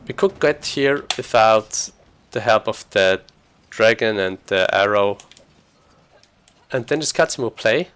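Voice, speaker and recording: A man's voice: fairly low pitch, expressive, measured pace, fairly bright tone, a little hiss or hum. A man giving casual, informal gameplay commentary as a speedrunner, thinking aloud as he plays.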